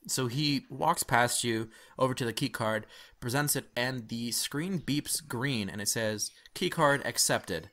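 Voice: medium pitch, unhurried, fairly bright tone. A game master casually narrating a tabletop game and bantering with the players.

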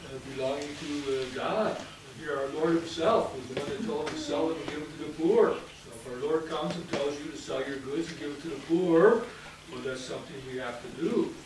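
A priest in his fifties delivers a sermon in a fairly high male voice. He proceeds haltingly, pausing and circling back over his phrases. The sound is very echoey and picked up from a distance, with clear noise around it. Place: indoors at a church pulpit or lectern, reverberant.

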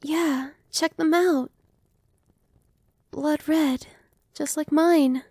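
A friendly young vampire girl, played by a woman, with a high voice and a mellow, warm tone. She talks softly and reassuringly, close to the mic in a dry room, and slips into playful whispers now and then. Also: fairly even intonation, extremely slow, dry recording, heavily acted. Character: cheerful young female vampire, newly turned, friendly. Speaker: voice actress. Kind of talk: ASMR roleplay.

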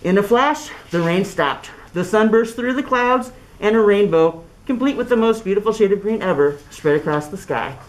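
A middle-aged woman reading a children's story aloud through a cloth mask in an expressive, deliberate way. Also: mid-range voice, poor audio. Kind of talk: read-aloud storybook narration. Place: small indoor classroom. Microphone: camera a few feet away, speaking through cloth mask.

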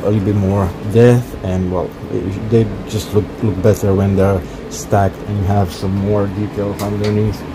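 A man casually walking through his home-built cockpit project, talking haltingly with many fillers. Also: on the low side, background noise. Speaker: hobbyist cockpit builder. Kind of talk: casual build walkthrough. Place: workshop.

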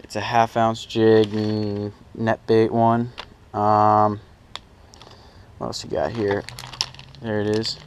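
A man's voice: lowish voice, monotone, slow, long pauses. A young man chatting casually and informally as he vlogs about fishing, recorded outdoors on an action camera. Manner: informal, slangy. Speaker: fishing vlogger. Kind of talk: casual first-person vlog chat. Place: outdoors, at a car trunk and on a small pond boat. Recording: clearly noisy outdoor action-camera audio.

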